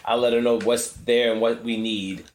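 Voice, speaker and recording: A man co-hosting a podcast, explaining household routines in a steady, practical way. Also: mid-range voice, moderate pace, echoey room. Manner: married couple's parent perspective. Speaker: podcast co-host. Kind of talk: casual podcast talk.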